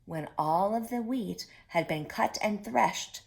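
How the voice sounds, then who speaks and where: A woman reading a storybook aloud to young children, deliberate, pausing to put questions to her listeners. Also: mid-range voice, creaky.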